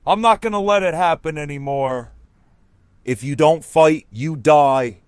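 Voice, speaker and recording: An actor playing a defiant, intense survivor leader, speaking with confrontational force in an audio drama. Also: male voice, a little high, unhurried, clean tone, dry recording, studio quality, close to an everyday voice. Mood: angry, defiant.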